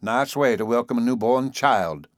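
A man's voice: mid-range voice, lively intonation, normal speed, dry recording, studio quality, lightly acted. A man voicing a querulous old man of about seventy with a cracked, weak, whining voice in a storybook reading. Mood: weary complaint. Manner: querulous, complaining. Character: querulous ancient old man, newly born.

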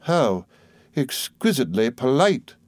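Man doing a prim sneering spinster, sarcastic, high and slow, dry close sound. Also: no echo, close to an everyday voice. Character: haughty, sneering spinster sister, woman.

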